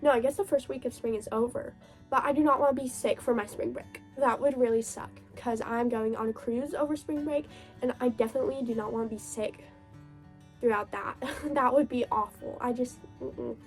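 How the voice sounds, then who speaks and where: Young woman vlogging, kinda high voice, sick and stuffy and gravelly, super gushy and giggly, faint music underneath. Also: fairly even intonation, moderate pace. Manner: gushing, chatty, laughs often. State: hoarse, stuffed up from a cold. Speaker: book vlogger. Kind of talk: casual reading wrap-up chat.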